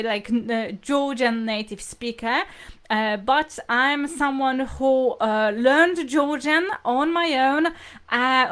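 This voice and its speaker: A woman's voice: fairly high pitch, fairly bright tone. A woman hosting an informal live lesson, explaining things to viewers in a hesitant, repetitive way. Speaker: language teacher hosting livestream lesson.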